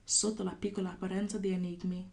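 A woman from California speaks as a poet on a podcast. Her voice sits low and stays fairly flat in pitch. She talks thoughtfully, pausing often with fillers as she works through ideas. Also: creaky. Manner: thoughtful, hesitant with many fillers, laughs often. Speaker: poet guest. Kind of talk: podcast interview with poetry reading.

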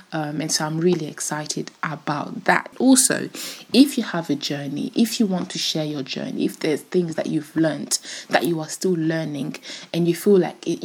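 Woman in her twenties, rough deep voice, rambling casual podcast talk, breathy tone. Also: darkish tone. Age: 20s. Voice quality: deeper, rough voice. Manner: chatty, rambling, giggly. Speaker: podcast host. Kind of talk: casual solo podcast chat.

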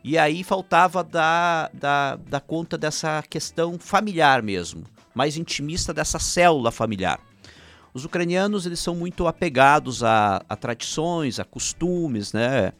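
A man's voice: a little high, lively intonation, measured pace, a bit bright, soft background music. Chatty man with Ukrainian roots. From Paraná, Brazil. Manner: rambling, frequent restarts and "né?" tags. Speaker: filmmaker guest. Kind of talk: casual interview chat.

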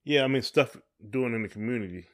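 A man's voice: medium pitch, fast. A middle-aged man, chatting casually as a podcast co-host in a folksy, encouraging way.

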